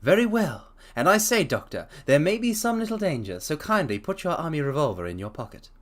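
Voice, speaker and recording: Man reading as a brilliant detective, crisp, clipped, authoritative, storybook reading. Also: on the high side, very animated, fairly quick, a bit bright. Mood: brisk, confident. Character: brilliant brisk male detective, commanding. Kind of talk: dramatic reading.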